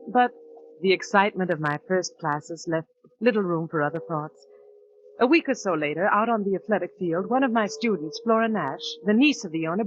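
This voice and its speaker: A woman's voice: fairly low pitch, close to an everyday voice. Woman acting a young female teacher, earnest, indignant, 1940s radio drama, dull recording, music underneath.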